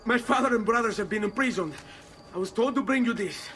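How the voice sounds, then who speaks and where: A man's voice: high-pitched, fairly quick, fairly bright tone, slight background noise. An actor playing a proud, vengeful young Italian nobleman with an Italian accent. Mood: anxious, earnest.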